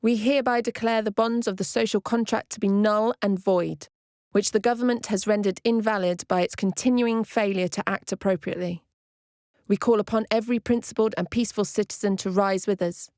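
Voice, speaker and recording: A woman's voice: medium pitch, fairly even intonation, a little fast, a little mellow, no echo, studio quality. A woman reading a scripted activist declaration in a solemn, formal, declarative manner. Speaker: activist reader.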